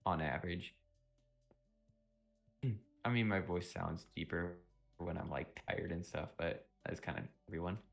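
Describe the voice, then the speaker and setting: A young man on a live stream, chatting casually and rambling with lots of fillers, a little sick and often clearing his throat. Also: low voice, a little slow, long pauses. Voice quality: vocal fry. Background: music playing.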